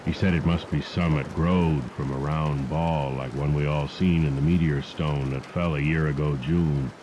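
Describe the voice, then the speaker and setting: Man voicing a hushed, deep, frightened old country farmer. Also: steady tone, normal speed. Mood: hushed, fearful. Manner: rustic ungrammatical country dialect, hushed and grave. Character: frightened old rural farmer warning of unearthly horror. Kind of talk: horror audiobook character dialogue. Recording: muffled degraded cassette tape with rain noise. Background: rain and storm sounds.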